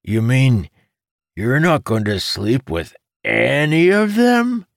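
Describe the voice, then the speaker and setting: A man voicing an excitable, theatrical necromancer in an audiobook, blurting and shouting his proclamations. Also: medium pitch, takes it slowly, vocal fry, dry recording, clean studio sound, voice pushed up, heavily acted. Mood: incredulous. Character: excitable, theatrical male necromancer, loud and incredulous.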